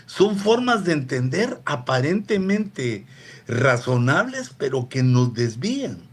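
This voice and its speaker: A man's voice: fairly high pitch, very animated, slightly rough. Man giving a rambling radio sermon. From Latin America. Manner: unhurried, digressive, with frequent asides to listeners. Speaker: apostle preaching on a radio program. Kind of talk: conversational sermon teaching.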